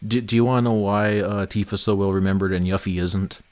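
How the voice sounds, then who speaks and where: A man with a low-pitched voice hosts a livestream. He talks casually, like he is among friends. His manner is dry and teasing. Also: steady tone, brisk, a little mellow, a little hiss or hum. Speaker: livestream host and game developer. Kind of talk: casual chat.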